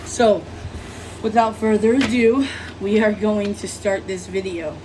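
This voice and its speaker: A woman with a rough, hoarse voice from being sick, giving a casual, rambling tarot reading as host of her channel. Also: medium pitch, measured pace, distant mic, background noise. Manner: rambling, apologetic, many fillers. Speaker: tarot reader.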